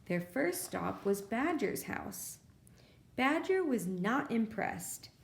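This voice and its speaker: In an echoey space, a woman reads a storybook for preschool children. Her voice is fairly high and moves up and down a lot, with an expressive, simple delivery suited to a library story time. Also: takes it slowly, creaky, noisy background. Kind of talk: read-aloud storybook narration for preschoolers. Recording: roomy, echoey sound.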